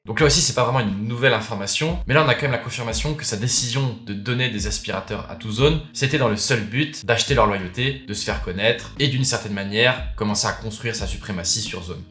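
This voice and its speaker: Fast-talking man, low voice, roomy sound. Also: bright, forceful tone. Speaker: video host. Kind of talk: casual explanatory commentary on game lore. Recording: roomy echo, music underneath. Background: background music.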